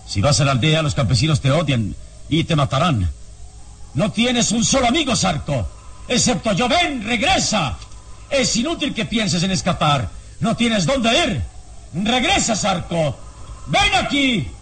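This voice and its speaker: An actor playing a commanding, protective adventurer hero in a radio serial, insistent and theatrical. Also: male voice, high-pitched, huge pitch swings, unhurried, a bit bright, exaggerated voice. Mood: urgent, pleading. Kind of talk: Spanish-language radio serial acting.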